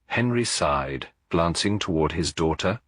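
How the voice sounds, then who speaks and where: A man reading a cozy mystery story as a scripted audiobook, in a measured, literary storytelling manner. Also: low voice, expressive, fairly bright tone, no echo, studio quality. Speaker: narrator.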